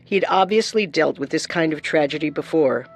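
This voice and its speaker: A woman's voice: deep voice, moderate pace. A woman co-hosting a scripted true-crime show as narrator, with music underneath. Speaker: co-host and narrator.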